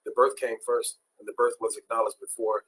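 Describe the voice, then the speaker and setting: A man's voice: mid-range voice, little pitch movement, a little fast, a bit bright, rough recording. A man who is a guest on a talk show, explaining his views in an assertive, lecturing way.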